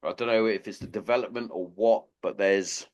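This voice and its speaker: A man's voice: fairly low pitch, fairly even intonation, normal speed. Chatty, sweary man hosting a podcast. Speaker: podcast host. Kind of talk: casual chat.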